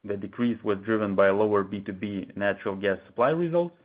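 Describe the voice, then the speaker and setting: Over a narrow, phone-like conference line, a man with a fairly low voice delivers a scripted earnings presentation. He sounds like a company executive reading prepared remarks, formal and measured.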